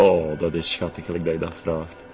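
A sly, evasive West Flemish man with a fairly low voice played by an actor, cagey and flirtatious. Music plays faintly behind him. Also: monotone, fairly quick, highs cut off, close to an everyday voice. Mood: sly, teasing. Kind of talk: TV drama acting.

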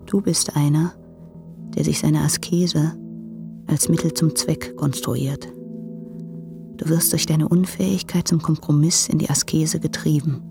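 An actress playing a frank, reproachful woman challenging her hesitant lover in a literary radio drama, intense and unsparing. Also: low-pitched.

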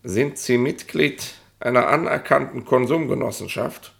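An actor playing a smug, petty police inspector in a 1960s German radio play, rambling in officialese with colloquial tag questions. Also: male voice, medium pitch, unhurried, vocal fry, a bit bright. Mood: officious, chatty.

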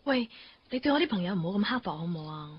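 A woman's voice: fairly low pitch, lively intonation, very fast, breathy edge, no room sound, muffled recording. An actress with a Hong Kong Cantonese accent playing a breezy, sociable young girlfriend in a radio drama, chatty, sprinkling in English words. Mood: mildly reproving.

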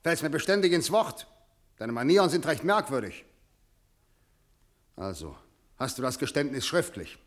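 Stern prosecutor with swooping intonation. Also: male voice, fairly high pitch, big pitch swings, moderate pace, pauses often. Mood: pedantic. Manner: clipped, legalistic, condescending. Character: stern, cold senior prosecutor and authoritarian father. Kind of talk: German radio play acting.

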